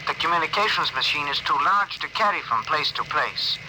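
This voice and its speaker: A calm alien envoy played by a man in 1950s radio drama style. The voice sits a little high, with formal, measured, stilted phrasing. The old broadcast carries clear noise. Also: lightly acted. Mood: grave, formal.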